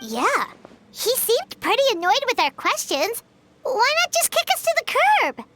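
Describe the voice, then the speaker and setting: Squeaky tiny companion. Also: female voice, huge pitch swings, a little slow, background noise, heavily acted. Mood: impatient. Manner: blunt, teasing. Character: tiny female floating companion, blunt and impatient. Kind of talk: game voice acting.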